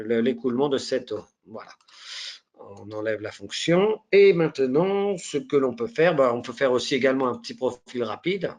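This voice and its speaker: Man, head cold, live software walkthrough, hesitant, many restarts, mid pitch. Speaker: software demonstrator. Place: online live presentation.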